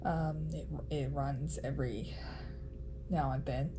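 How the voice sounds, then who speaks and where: A woman giving a casual vlog update in a nasal voice, chatty and halting with frequent ums. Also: rumbling low, steady tone, background noise. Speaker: vlogger.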